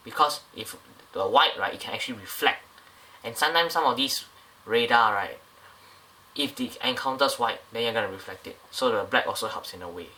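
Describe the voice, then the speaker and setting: A man's voice: lowish voice, pushed and bright, poor audio. A man casually reviewing a scale model airliner up close, talking in a loose, rambling way with many fillers and restarts.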